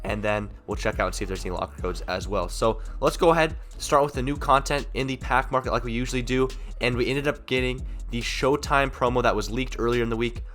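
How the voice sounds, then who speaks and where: A young man hosting a gaming channel, talking through in-game market updates in a rapid, rambling, upbeat way while sick, so his voice sounds off. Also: mid-range voice, a bit bright. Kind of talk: casual gaming market commentary.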